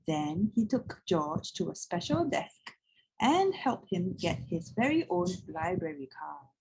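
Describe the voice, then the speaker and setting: Husky woman reading a children's story expressively. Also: on the low side, unhurried, poor audio. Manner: expressive, addressing young listeners. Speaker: read-aloud storyteller. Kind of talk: children's picture book read-aloud.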